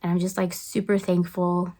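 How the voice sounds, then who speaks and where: A woman's voice: on the low side, completely flat delivery, normal speed, dark tone. A young woman from Brazil with a slight Brazilian accent, chatting upbeat in a casual get-to-know-me vlog from her home kitchen, with small restarts. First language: Brazilian Portuguese. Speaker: lifestyle vlogger. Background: faint guitar music.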